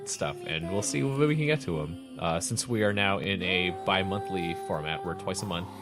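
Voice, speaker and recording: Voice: sits low, normal speed, music in the background. A podcast host chatting casually in a rambling, jokey way, often self-correcting. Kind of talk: casual chat.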